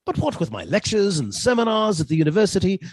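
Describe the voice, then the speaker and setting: An actor playing a meek, henpecked professor, mild and deferential, in a suspense radio play. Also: male voice, sits high, very animated, fast talker, husky, no echo, heavily acted. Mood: meek, resigned. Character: meek, henpecked British professor husband, quietly fussy.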